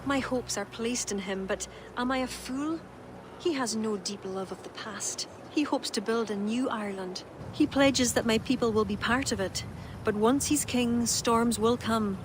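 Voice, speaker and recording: A woman playing a solemn, regretful druid, speaking in earnest, measured, lyrical phrases in a dramatic video game. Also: on the high side, lightly acted. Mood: wistful confession. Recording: clear outdoor ambient noise behind voice.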